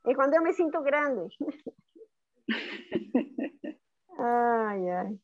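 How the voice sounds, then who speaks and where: A woman's voice: on the high side, expressive, drawn-out words, no room sound. Chatty woman on a tinny call line. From Uruguay. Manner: chatty, rambling, laughs at herself. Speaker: online class participant. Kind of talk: casual chat. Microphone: video call.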